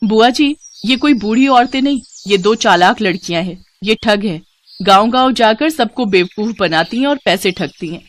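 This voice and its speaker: Young niece, voiced by a woman narrator: shrewd, indignant, emphatic, highly expressive storybook read. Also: normal speed, close to an everyday voice. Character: sharp, indignant young niece exposing swindlers.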